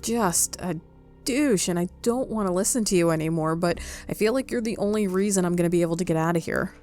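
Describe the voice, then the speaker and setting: A woman's voice: fairly low pitch, fairly quick, a little mellow, faint music behind. A woman giving casual commentary as she plays a game, reacting aloud and talking back. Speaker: game player.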